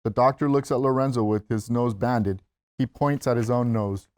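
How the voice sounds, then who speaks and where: Male narrator, medium pitch, scripted stage-direction read for a screenplay. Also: moderate pace, a little mellow, a little hiss or hum.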